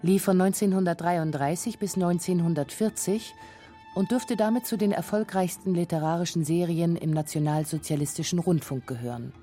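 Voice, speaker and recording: A woman narrating a scripted radio feature in a sober, measured manner. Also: fairly low pitch, dark tone, soft background music. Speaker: documentary narrator.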